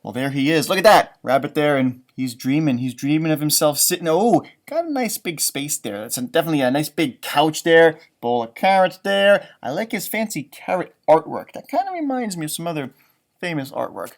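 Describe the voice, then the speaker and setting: A man's voice: on the high side, a little fast. A man who teaches, doing a children's storybook read-aloud with playful, rambling, chatty asides. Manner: animated, rambling, playful commentary.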